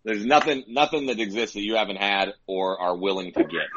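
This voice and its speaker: Man, caller, deep voice, boisterous, lo-fi line with light noise. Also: steady tone, a little fast, fairly bright tone. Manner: joking and boisterous.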